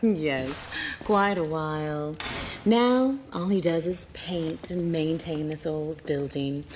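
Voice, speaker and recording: A woman's voice: low-pitched, takes it slowly, darkish tone, slight background noise. An actress playing a doting older woman caretaker in a game cutscene, sweet and fussing. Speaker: game character.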